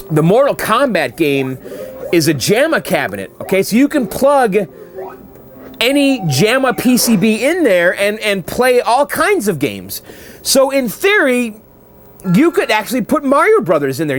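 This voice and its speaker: Chatty high-voiced man host. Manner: chatty, repeats "okay?" often. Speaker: host answering viewer mail. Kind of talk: casual chat.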